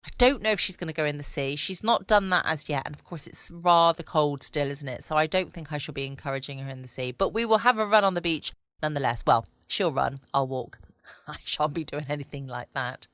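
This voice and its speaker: Podcast host: southern English woman, lowish voice, relaxed bedtime chat, very dry sound. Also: a little fast, no echo. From United Kingdom. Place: at home, quiet room.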